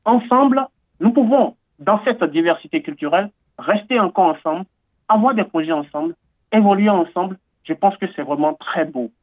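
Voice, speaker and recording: A man's voice: sits high, no room sound. A man from Benin interviewed on the radio, talking earnestly and eagerly, with many hesitations and repeated words. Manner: earnest, enthusiastic, with frequent fillers and repetitions. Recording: tinny phone-like line, dry.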